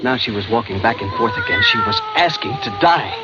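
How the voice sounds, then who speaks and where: A man's voice: on the high side, huge pitch swings, a little fast, heavy background noise. An American actor playing a man who dramatically recounts a brush with death in 1940s radio drama style. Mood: frantic suspense. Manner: intense, dramatic delivery. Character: young male adventurer breathlessly recounting his narrow escape from death. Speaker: lead actor narrating. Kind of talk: 1940s radio drama acting, first-person narration. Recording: dull vintage radio recording, loud music.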